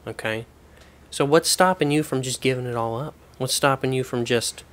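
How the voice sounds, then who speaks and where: A man playing a blunt, bossy therapist hosting a comedy sketch, pressing his patient and jumping loud when he insists. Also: mid-range voice, moderate pace, close to an everyday voice. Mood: probing. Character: pushy, self-important male TV therapist, blunt and bossy. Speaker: host.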